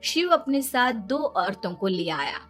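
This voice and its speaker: A woman's voice: fairly high pitch, big pitch swings, unhurried, fairly bright tone, soft background music. A woman narrating a scripted children's story as its storyteller.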